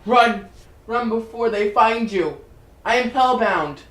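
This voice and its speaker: A woman performing a dramatic reading as a tormented, despairing figure possessed by demons, swinging between hushed and loud pleading. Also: fairly high pitch, lively intonation, slow pace. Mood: urgent warning. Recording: roomy echo, music underneath.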